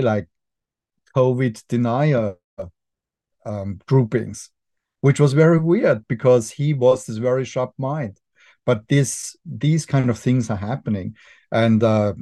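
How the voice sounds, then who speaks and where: Austrian man, dark dry voice. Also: medium pitch, no echo. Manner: thoughtful, halting, many restarts and fillers. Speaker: documentary filmmaker guest. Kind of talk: podcast interview.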